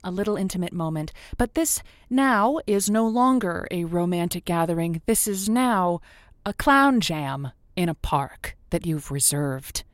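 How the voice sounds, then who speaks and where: A woman's voice: lowish voice, lively intonation, a little slow, dry recording, studio quality. A woman playing an effusive, theatrical host of a call-in romance advice show in an audio drama. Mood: advising. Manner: gushing, theatrical, encouraging.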